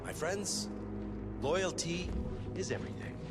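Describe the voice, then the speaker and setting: A man's voice: high voice, sing-song, a little slow, over loud music. An actor playing a grandiose male lawyer addressing a secret society with pompous, theatrical formality in a video game. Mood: solemn, ominous. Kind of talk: scripted cutscene speech.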